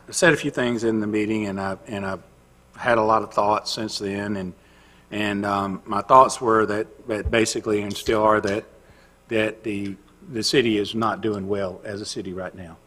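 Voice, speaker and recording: A man on a city council, speaking haltingly with many restarts before stating his position firmly. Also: fairly low pitch, sharp tone, breathy edge. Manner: halting, many restarts and fillers, then firm. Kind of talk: formal remarks at a council meeting. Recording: long reverb of a council chamber.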